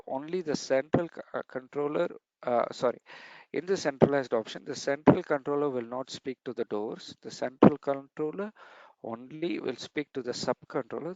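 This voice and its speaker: A man's voice: medium pitch, a little mellow, no echo, dull audio. A man giving a technical training lesson over slides, explaining step by step and repeating key points.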